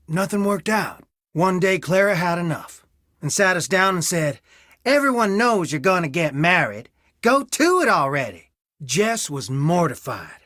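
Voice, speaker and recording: Weary young man, expressive and close-miked. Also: fairly high pitch, no echo, full character voice. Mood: wistful. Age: young adult. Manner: wry, tired, defensive. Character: weary, guarded young fiancé of a missing woman. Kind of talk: scripted video game voice acting.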